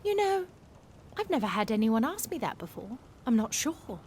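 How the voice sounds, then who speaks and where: A woman's voice: fairly high pitch, big pitch swings, normal speed, a little mellow, slight background noise. A woman acting a free-spirited, dreamy young sailor in a Regency-era audio drama, poetic and full of wonder. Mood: gentle, reflective. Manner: wonder-filled, poetic, effusive. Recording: music bed behind the voice.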